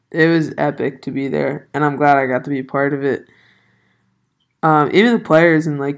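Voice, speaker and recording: Hoarse young podcast host, rambling, lots of ums and restarts, monotone delivery, mid-pitched voice. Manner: rambling, filler-heavy, self-correcting. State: hoarse, voice not fully back. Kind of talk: casual solo podcast chat.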